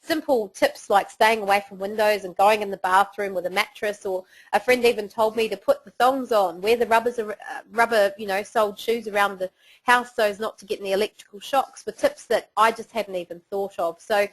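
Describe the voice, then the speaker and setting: A woman's voice: medium pitch, fairly even intonation, fairly quick, poor audio. A middle-aged woman with a New Zealand accent presenting a business webinar, chatty and encouraging with frequent fillers.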